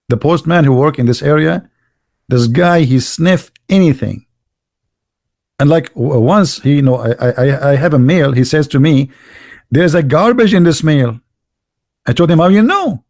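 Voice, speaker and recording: A man's voice: on the high side, expressive, normal speed, long pauses, darkish tone. A middle-aged man hosting a live podcast, talking in a sarcastic, mocking way in broken, English. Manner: sarcastic, heavy accented English with broken grammar. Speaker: host of a religious debate show.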